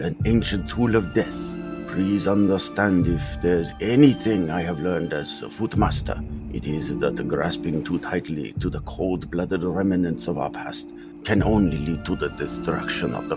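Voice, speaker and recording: Man playing old male mutant rat sensei, deep voice, solemn, formal, authoritative, motion comic drama, strong background music. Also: a little slow. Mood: solemn warning. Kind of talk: motion comic fan dub acting.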